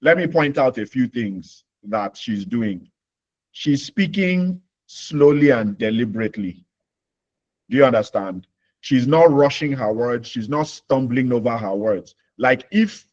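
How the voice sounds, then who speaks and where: A man's voice: medium pitch, measured pace, dull audio. A Nigerian man hosting a live career Q&A webinar, explaining with homely examples and checking that listeners follow. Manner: explains with homely examples, repeats points, asks "you understand?". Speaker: webinar host.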